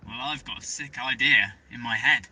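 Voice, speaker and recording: A man's voice: medium pitch, normal speed, bright, forceful tone, slightly rough. An actor playing a cheeky, joking young man in an audio drama. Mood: keen, wry.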